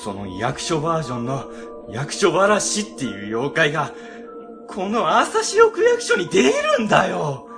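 A cowardly middle-aged section chief, acted by a man in a comic radio drama, his pitch leaping all over. The voice is highish and gravelly, stammering and theatrical. Faint music plays behind him. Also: moderate pace, full character voice. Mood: ominous, hushed. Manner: stammering, excitable, exaggerated frightened delivery. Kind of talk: comedic web radio drama acting.

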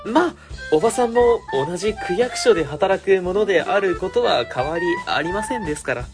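A man's voice: high-pitched, expressive, moderate pace. A man playing an earnest, overly formal junior civil servant in an amateur radio drama, speaking in stiffly polite official phrases. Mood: officious. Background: background music.

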